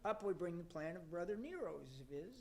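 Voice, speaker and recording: Radio play: high-pitched, pompous devil chairman, formal and theatrical male actor. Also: big pitch swings, moderate pace, darkish tone. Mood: pompous, presiding.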